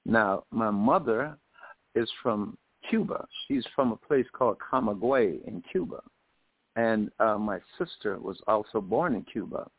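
A man's voice: lowish voice, lively intonation, unhurried, husky, no echo. A man in his seventies from Jamaica, a singer, telling anecdotes as a guest in a radio interview. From Jamaica, later the United States. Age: 70s. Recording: phone-like, thin radio call.

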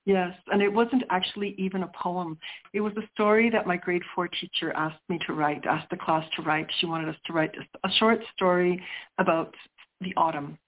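A woman's voice: fairly low pitch, fairly even intonation, normal speed. A middle-aged woman, a poet whose first language is Sicilian, answering interview questions in a reflective way and often restarting as she searches for words. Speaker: poet guest. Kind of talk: interview conversation. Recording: tinny phone line.

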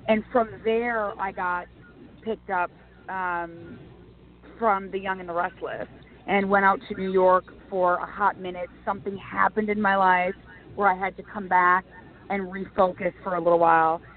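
A woman's voice: medium pitch, normal speed, slight background noise. Talkative tv lady guest, restarts a lot, thin phone-line audio. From Minnesota. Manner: chatty and animated, frequent restarts. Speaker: guest, TV and news personality. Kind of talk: casual interview answers.

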